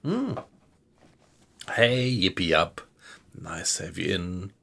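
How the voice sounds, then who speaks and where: Slow, gravelly German man streaming a game. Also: low-pitched, very animated, a bit bright. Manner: slow, hesitant, chatty with viewers. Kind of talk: casual live-stream commentary. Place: home room at night.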